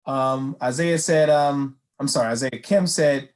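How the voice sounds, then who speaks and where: A man from the United States hosting a casual faith chat, reading viewer comments aloud and speaking haltingly. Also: mid-range voice, steady tone. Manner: hesitant, many fillers and restarts.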